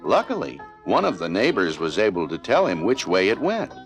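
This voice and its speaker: Male children's storybook narrator, fairly low voice, highly animated intonation, music clearly behind. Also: normal speed. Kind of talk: scripted children's read-along narration.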